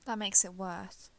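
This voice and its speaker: A woman's voice: mid-range voice, creaky. A woman playing a shy, guarded villain turned reluctant ally in a roleplay audio drama, speaking hesitantly and with reserve. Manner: hesitant, halting, reserved, understated. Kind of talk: roleplay audio drama, intimate one-sided dialogue.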